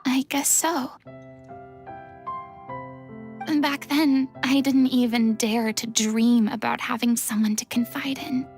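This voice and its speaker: A woman voicing a lonely, remorseful young former goddess in a game, speaking softly and breathily in a hushed, confessional way. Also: a little high, steady tone, a little slow, faint music behind. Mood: wistful. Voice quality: soft and often breathy, near a whisper. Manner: hushed, confessional and heavy-hearted. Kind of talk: game character dialogue.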